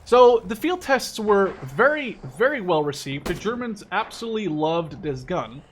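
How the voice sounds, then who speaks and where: A man's voice: sits high, sing-song, moderate pace, a little hiss or hum. A man in his twenties from the Netherlands giving casual, rambling gameplay commentary, often correcting himself and swearing casually. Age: 20s. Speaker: gaming video host.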